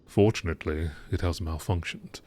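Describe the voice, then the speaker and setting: A man reading scripted lore in a measured, formal documentary narration style. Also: low-pitched, darkish tone, a little hiss or hum.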